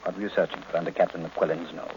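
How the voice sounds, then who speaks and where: An actor playing a large, imperious private detective in old radio drama, pompous, erudite and curt. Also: male voice, low voice, level tone, very fast, darkish tone, close to an everyday voice. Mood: shrewd, probing. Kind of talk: 1940s-50s radio drama acting. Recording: noisy, muffled old radio recording.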